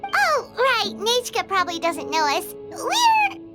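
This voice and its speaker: Tiny game fairy sidekick, squeaky, extremely high, wildly swooping pitch, excitable. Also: female voice, slow, over music, exaggerated voice. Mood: cheerful. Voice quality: squeaky, childlike. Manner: speaks of herself in third person, exclamatory. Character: small floating childlike fairy companion, chirpy and excitable. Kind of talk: video game voice acting.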